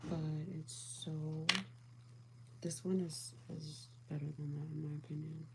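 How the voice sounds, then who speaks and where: A calm, soothing woman chatting casually with viewers as she does her makeup, often dropping to a whisper. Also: low voice, measured pace, a little hiss or hum, rough recording. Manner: calm, soothing, soft-spoken; often drops to a whisper while applying makeup. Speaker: beauty livestream host.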